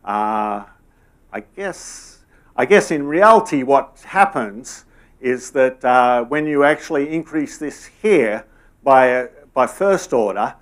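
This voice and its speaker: A middle-aged man answering audience questions after a lecture in a conference room, halting, with many fillers and restarts. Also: mid-range voice, animated.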